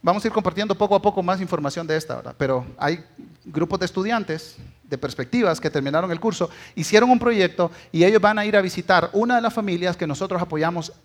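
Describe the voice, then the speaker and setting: High-voiced Guatemalan pastor preaching a sermon. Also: male voice, fast talker. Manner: emphatic, exhortative, with rhetorical tag questions.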